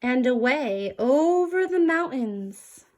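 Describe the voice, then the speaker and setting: High-voiced, unhurried woman reading a story. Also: expressive, a little mellow, highs cut off. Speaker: storybook reader. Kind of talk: expressive children's story narration.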